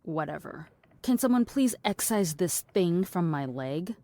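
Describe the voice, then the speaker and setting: A woman playing a cynical, blunt philosophiser in an audio drama, deadpan, wordy and dismissive. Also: lowish voice, measured pace. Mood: dry, irritated.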